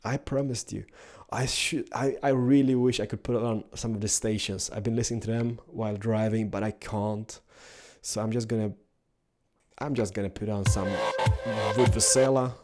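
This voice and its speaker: A man's voice: lowish voice, steady tone, normal speed, a little mellow, faint music behind. Rambling young man. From Sweden. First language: Swedish. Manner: rambling, with many "like" and "stuff like that". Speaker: gaming youtuber. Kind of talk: casual gameplay commentary.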